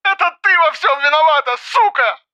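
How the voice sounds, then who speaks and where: An actress playing a hysterical, accusing mother in an audiobook, shrill and agitated, heard as if over a phone. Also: normal speed, bright, forceful tone, no echo, lo-fi sound. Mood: furious accusing. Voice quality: shrill, shrieking.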